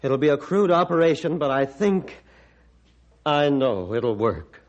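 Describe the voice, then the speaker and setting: An actor playing a bitter, guilt-ridden hermit who was once a surgeon, gruff and theatrical in old-time radio style. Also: male voice, on the high side, big pitch swings, unhurried, muffled recording. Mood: resolute, directing.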